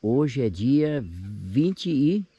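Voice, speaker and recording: A man's voice: mid-range voice, very animated, drawn-out words, dark tone, breathy edge, muffled recording. A man in his sixties with a Brazilian accent, narrating a casual camping vlog outdoors. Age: 60s. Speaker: camping vlogger. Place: outdoors on a grassy lakeside campsite.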